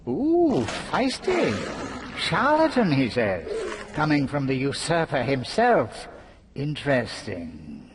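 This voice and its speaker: An actor playing a gleeful, mocking trickster villain in a video game, taunting with drawn-out theatrical sarcasm. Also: male voice, mid-range voice, wildly swooping pitch, heavy background noise.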